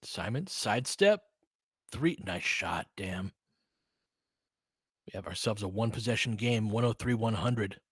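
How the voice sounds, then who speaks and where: A man doing casual live basketball play-by-play as a fan analyst, in clipped phrases, swinging between muttered asides and excited calls. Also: on the low side, unhurried, long pauses, slightly rough, no room sound, studio quality.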